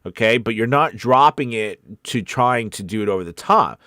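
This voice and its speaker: A man's voice: medium pitch, lively intonation, moderate pace, pushed and bright, no echo, clean studio sound. A man working as a voice-over coach, talking informally and encouragingly in a loose, rambling, conversational way. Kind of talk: informal live coaching session.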